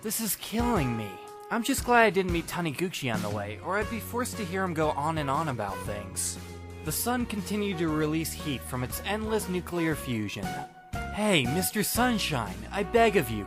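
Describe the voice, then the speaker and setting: Wry, animated high-voiced male narrator over music. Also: normal speed. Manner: wry, self-deprecating, dramatic. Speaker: audiobook narrator playing the first-person lead. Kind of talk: expressive scripted first-person narration. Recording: music bed behind the voice. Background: background music.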